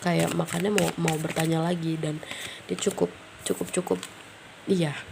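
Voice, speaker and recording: A woman's voice: low voice, level tone, moderate pace, vocal fry, background noise. A woman with a stuffy, nasal voice from a cold, doing a casual tarot reading, thinking aloud and tossing in English words. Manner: musing, thinking aloud with frequent fillers. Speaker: tarot reader.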